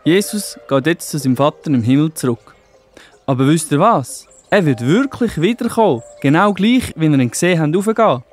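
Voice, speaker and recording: Man acting male angel messenger, slightly high voice, reassuring, faint music, children's drama. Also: lively intonation, fairly quick. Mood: reassuring, solemn. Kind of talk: children's Bible audio play.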